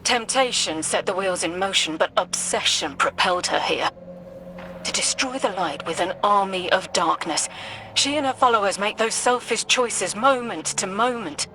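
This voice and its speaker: A woman playing a wise, composed mentor in a video game, speaking in an earnest, measured way. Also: mid-range voice, bright, forceful tone, a little hiss or hum, close to an everyday voice. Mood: calm conviction. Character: composed, wise female mentor of sci-fi guardians. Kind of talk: scripted video game mission dialogue.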